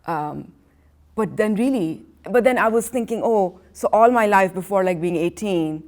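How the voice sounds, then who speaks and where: Woman artist, farsi first language, chatty and rambling, mid pitch voice. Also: animated, normal speed, a little mellow. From Iran and Pakistan. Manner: rambling, full of restarts and "you know". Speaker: artist, interview guest. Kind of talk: casual conversational storytelling. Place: art gallery.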